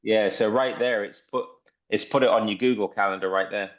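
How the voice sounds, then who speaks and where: A man giving a casual live software walkthrough as presenter, enthusiastic and often repeating himself, on muffled online call audio. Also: on the low side, steady tone, brisk.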